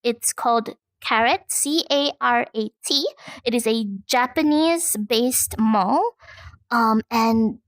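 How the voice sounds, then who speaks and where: A woman from Malaysia talks in a casual interview style. Her voice sits fairly high, and the sound is dry, with very little room in it. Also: slow pace, dry recording. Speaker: guest, content creator and VTuber.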